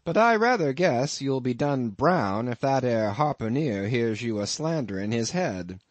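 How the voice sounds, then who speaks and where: A man's voice: medium pitch, lively intonation, a little slow, no room sound, natural voice. A man voicing a folksy, wry male innkeeper who teases in a drawling rustic dialect. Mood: teasing.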